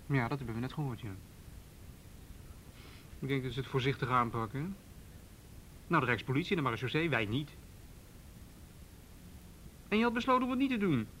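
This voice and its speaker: Actor as worried policeman, medium pitch, bright edgy tone, dry and sardonic, radio drama. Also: animated, fairly quick, long pauses, slight background noise, natural voice, low-quality recording. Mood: worried, exasperated. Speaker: police officer. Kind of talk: naturalistic radio drama acting.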